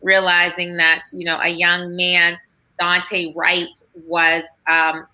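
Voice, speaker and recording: A woman speaking earnestly as a guest on a video call, halting often with fillers. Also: fairly low pitch, completely flat delivery, noisy background. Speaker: guest psychiatrist. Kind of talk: emotional video call talk.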